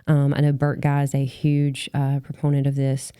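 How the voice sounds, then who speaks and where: A woman's voice: rumbling low, completely flat delivery, moderate pace, mellow, smooth, dry recording, clean studio sound. A woman hosting a casual interview podcast, chatting along in an agreeable, conversational way. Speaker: podcast host.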